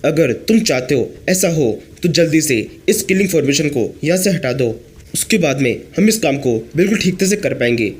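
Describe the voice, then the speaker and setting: A hoarse man reading the lines of an arrogant young prince who is shaken but scheming. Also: a little high, lively intonation, brisk. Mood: indignant.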